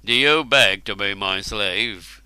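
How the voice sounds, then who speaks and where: A man's voice: on the low side, slow pace, pushed and bright, smooth, dry recording, full character voice. A man voicing a bored, smug young warrior in a storybook reading, drawling his words. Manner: languid, drawling.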